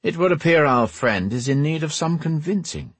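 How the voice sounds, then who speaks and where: Man acting a suave, courteous rogue, crisp voice. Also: on the high side, expressive, moderate pace, no room sound, voice pushed up. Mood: smooth, courteous. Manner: polished, formal, amiable. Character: suave, smiling male rogue, theatrically courteous. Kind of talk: audiobook character dialogue.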